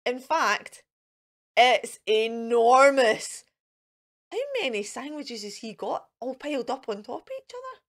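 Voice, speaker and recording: A woman reads a children's picture book aloud. Her voice is high and swoops expressively, at a very slow, deliberate pace. She acts out sounds and turns aside to chat to young viewers. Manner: expressive, sing-song, acting out sound effects. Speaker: children's storyteller.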